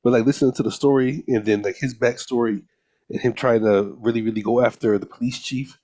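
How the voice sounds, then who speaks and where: Male podcast co-host, medium-pitched, conversational and slangy, casual film talk with friends. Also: steady tone, a little fast, darkish tone.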